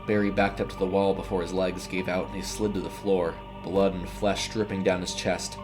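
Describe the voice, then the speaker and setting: Low-voiced man narrating horror over music. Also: little pitch movement, normal speed. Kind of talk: dramatic scripted horror narration.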